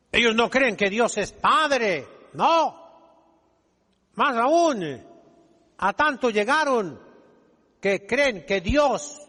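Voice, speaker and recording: Very slow, high-voiced older preacher. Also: male voice, a bit bright. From Mexico. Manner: emphatic, scolding, deliberate with dramatic pauses. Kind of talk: fiery homily, exhorting the congregation. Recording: echoing hall with long reverb.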